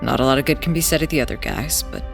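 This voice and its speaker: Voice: mid-range voice, wildly swooping pitch, fast, vocal fry. A defiant young smuggler boss, kinda terse n guarded, audio drama, big music behind. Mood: weary recounting. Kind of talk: audio drama acting and first-person narration. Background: loud music.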